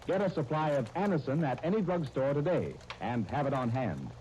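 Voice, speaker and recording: Mellow, expressive radio announcer man. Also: mid-range voice, highs cut off. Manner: formal, measured, deliberate phrasing. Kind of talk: 1930s radio scripted announcing and narration. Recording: old 1930s radio disc, faint noise.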